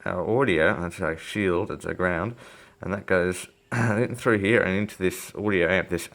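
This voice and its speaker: A man's voice: low voice, a little slow, vocal fry, a bit bright. A man giving a casual, unscripted electronics repair walkthrough, hesitant and rambling as he thinks aloud. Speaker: electronics repair hobbyist presenter. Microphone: phone filming the workbench.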